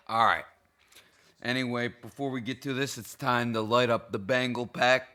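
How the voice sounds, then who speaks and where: Hoarse, profane man ranting about a game. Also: medium pitch, steady tone, a little slow, sharp tone. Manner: profane, heated, rambling. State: hoarse, intoxicated. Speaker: sports fan vlogger. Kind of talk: casual game reaction rant.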